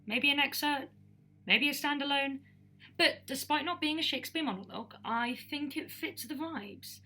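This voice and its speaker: A young woman playing an excitable drama student on an audition tape, gushing and rambling casually. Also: sits high, normal speed. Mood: eager, self-assured.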